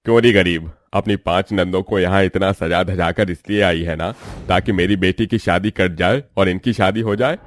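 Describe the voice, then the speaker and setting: पुरुष के अभिनय में गाँव का रौबदार सरपंच, गहरी आवाज़. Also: male voice, deep voice, normal speed, no room sound, close to an everyday voice. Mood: angry. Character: proud middle-aged village headman, gruff, easily incited. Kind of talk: Hindi family moral-story acting.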